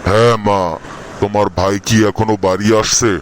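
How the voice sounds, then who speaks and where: A man's voice: on the low side, level tone, moderate pace, a little hiss or hum, close to an everyday voice. A man playing a weary, complaining old father in a cartoon, with a Bangladeshi accent. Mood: irritated. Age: older. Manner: complaining, reproachful, lamenting. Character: weary, scolding old father of a poor family. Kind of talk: amateur cartoon voice acting in colloquial Bengali.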